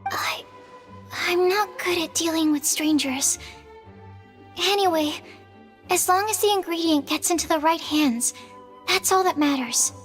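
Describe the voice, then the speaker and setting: Shy nerdy alchemist girl from a game, really high voice, modest and precise, bit of music under it. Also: measured pace, pauses often, fairly bright tone. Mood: shy, evasive. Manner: modest, bashful, precise and technical. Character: shy, earnest young female alchemist researcher. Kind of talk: video game character acting. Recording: studio voice over game music.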